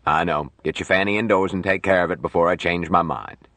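Man playing a gruff, bossy old doctor, low-voiced. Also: fairly even intonation, a little fast, pushed and bright, no room sound. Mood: bossy, sardonic. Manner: curt commands and dry wisecracks.

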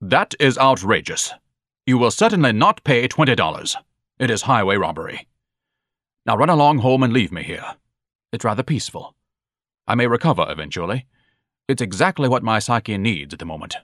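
A man's voice: medium pitch, sing-song, moderate pace, pauses often, a bit bright, dry recording, clean studio sound. A man voicing a pompous, self-pitying grown son in an audiobook, declaiming in florid, haughty phrases. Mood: lofty, dismissive. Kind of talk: audiobook character dialogue.

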